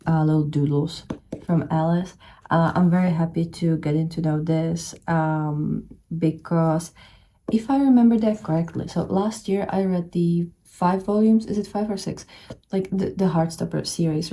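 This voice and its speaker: Young czech woman rambling about books, lots of ums and restarts, low-ish warm mellow voice. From Czech Republic. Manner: chatty, rambling, many fillers and restarts. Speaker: book vlogger. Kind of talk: casual reading vlog chat. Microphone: camera showing only her hands.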